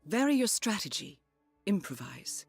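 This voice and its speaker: An actress playing a composed, determined agent handler in a video game, with a measured, formal, cool manner. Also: female voice, medium pitch, very animated, vocal fry, breathy edge, no echo, full character voice. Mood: crisp, instructive. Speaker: mission handler. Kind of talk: cinematic video game acting. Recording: game cutscene with music behind.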